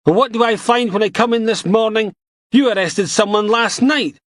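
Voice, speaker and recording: A man voicing a pompous, bearded male detective inspector who blusters and shouts. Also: sits high, normal speed, no echo, studio quality, pitched above the performer's own voice, natural voice. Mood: furious.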